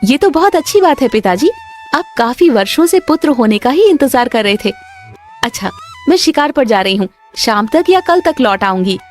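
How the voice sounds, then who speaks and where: A woman plays a young huntress princess in a cartoon tale. Her voice is fairly high and very lively in pitch, composed yet expressive, over faint music. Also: normal speed. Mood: cheerful. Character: young princess, dutiful daughter and keen huntress. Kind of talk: Hindi cartoon story acting.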